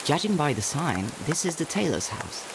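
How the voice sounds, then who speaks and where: An actor playing a brave, earnest young boy in an adventure game, with expressive delivery. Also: mid-range voice, moderate pace, heavy background noise. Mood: curious. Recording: music under the voice.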